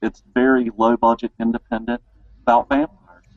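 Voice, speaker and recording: A man's voice: mid-range voice, monotone, normal speed, no echo, highs cut off. A man hosting a casual interview, talking informally and enthusiastically. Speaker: interview host. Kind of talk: casual chat.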